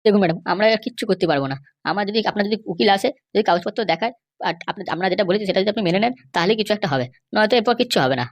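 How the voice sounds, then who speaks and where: Cartoon comedy part: chatty, crafty jail constable voiced by a woman, mid-range voice, extremely quick insistent patter. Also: medium pitch, animated, lightly acted. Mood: mocking, wheedling. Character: sly male cartoon schemer and bribe-seeking jail constable. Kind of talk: amateur cartoon comedy dubbing.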